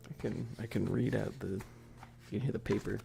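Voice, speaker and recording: A man chatting casually and softly on an ASMR livestream, rambling with hesitant pauses and often slipping into breathy, whispery speech. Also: lowish voice, steady tone, noisy background. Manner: self-deprecating, nerdy. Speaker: ASMR streamer.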